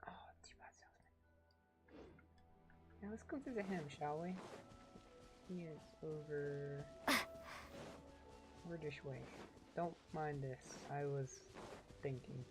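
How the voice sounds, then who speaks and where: A woman with a low voice gives casual live game commentary at an unhurried pace. She is playful and talks half to herself, with game music clearly behind her. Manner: muttering to self, playful. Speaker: game streamer.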